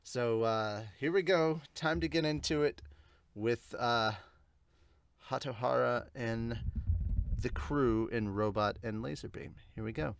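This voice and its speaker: A man's voice: mid-range voice, unhurried, vocal fry, clean tone. A man hosting a podcast, chatting informally and directly to his viewers. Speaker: host of a manga reaction podcast. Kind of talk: casual chat to viewers and manga read-aloud with commentary.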